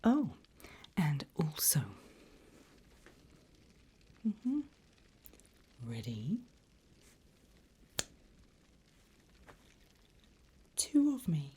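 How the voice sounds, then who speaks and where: A seasoned female demon guide, acted out by a woman for an ASMR roleplay. The voice is low and soft-edged, mostly an extremely breathy whisper. The delivery is slow and drawling, with a teasing, silkily condescending menace. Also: wildly swooping pitch, exaggerated voice. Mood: playful, teasing.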